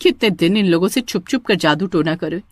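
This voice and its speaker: A scheming witch of a young wife, acted out by a woman storyteller, possessive and gloating. Her menacing lines swoop with lively pitch in a close, dry horror storybook recording. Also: a little fast, dry recording. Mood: vengeful.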